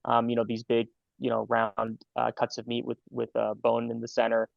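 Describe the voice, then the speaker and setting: Guest: young man, medical student, gravelly and monotone, chatty and halting, dull dry recording. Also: mid-range voice, no room sound. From Los Angeles, California. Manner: friendly, halting with fillers and repeats. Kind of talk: casual interview answers.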